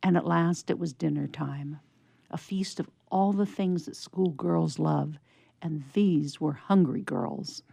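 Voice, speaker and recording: A woman narrator reading an old-fashioned story in a measured, expressive storybook style. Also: on the low side, vocal fry, slightly rough, no room sound.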